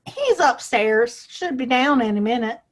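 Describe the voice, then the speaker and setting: A woman's voice: a little high, expressive, a little slow. A woman playing a feisty, outspoken small-town woman in a western audio play, with a folksy, plain-spoken manner. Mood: frank.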